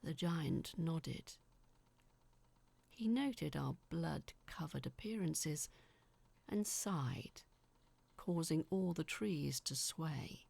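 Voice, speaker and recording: A woman narrates a scripted story in the first person. Her voice is slightly low, husky and full of creak, with measured, dramatic delivery. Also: lively intonation, a little mellow, no room sound.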